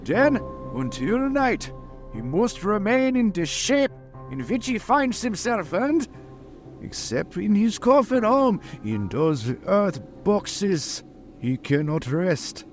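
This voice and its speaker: High-voiced, portentous vampire-hunting professor. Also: male voice, big pitch swings, faint music behind, heavily acted. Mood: grave, portentous. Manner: declamatory, portentous, measured phrasing. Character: grave, resolute male professor leading vampire hunters. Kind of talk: vintage radio drama acting.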